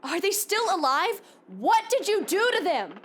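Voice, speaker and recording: Woman playing a anxious pushy lady podcast host in an audio drama, pitch moves around a lot, bit of noise. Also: big pitch swings, measured pace, full character voice. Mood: panicked, pleading. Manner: probing, urgent questioning. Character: female podcast host, insistent, anxious interviewer. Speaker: host interviewing a witness.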